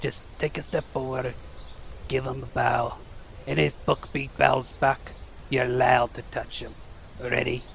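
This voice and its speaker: A big rustic gamekeeper, heavily gravelled and unhurried. He is folksy, protective and eager in this audio drama, with a bright, forceful edge to his voice. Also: medium pitch, flat delivery, slight background noise. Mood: coaxing. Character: big hearty gruff gamekeeper teaching class, eager, protective.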